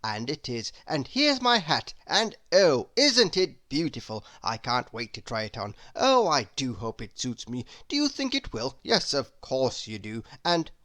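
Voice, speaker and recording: Silly, babbling storybook gentleman, woman performing; excitable, rambling, hugely animated pitch. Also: measured pace, few pauses, no echo, exaggerated voice. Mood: gleeful, excited. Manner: rambling, repetitive chatter piling clause upon clause. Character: excitable, silly, endlessly babbling cartoon man. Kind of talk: children's storybook character voice.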